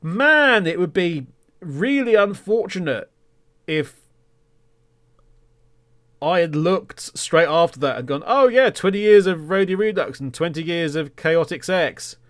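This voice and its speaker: A man's voice: high voice, lively intonation, unhurried, a bit bright. A man hosting a podcast in casual solo talk, with a rambling, self-deprecating and mock-indignant humour. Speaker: podcast host.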